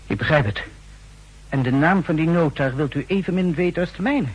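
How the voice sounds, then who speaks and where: An actor playing a polite, earnest young student in a 1960s radio drama, courteous and quick to comply. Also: male voice, fairly high pitch, slightly rough, poor audio. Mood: obliging, earnest. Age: young adult. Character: eager, polite young male student running an errand. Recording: fuzzy old radio recording.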